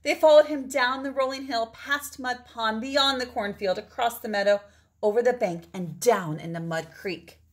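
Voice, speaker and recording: A middle-aged woman reads a children's storybook aloud, with dramatic phrasing and drawn-out words for emphasis. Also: fairly high pitch. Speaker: storybook reader. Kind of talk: expressive read-aloud of a children's picture book.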